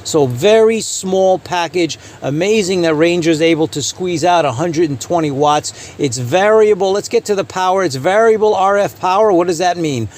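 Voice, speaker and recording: A man with a slightly high-pitched voice hosts an informal gear review. His delivery is halting, broken up by frequent filler pauses, and he often circles back to repeat a phrase. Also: lively intonation, a little mellow. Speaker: channel host reviewing radio gear. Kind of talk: informal product review commentary.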